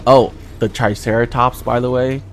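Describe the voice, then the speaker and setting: A man's voice: medium pitch, steady tone, moderate pace, slight background noise. A man casually commenting on his video game run, with chatty and exasperated asides. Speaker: gaming channel host.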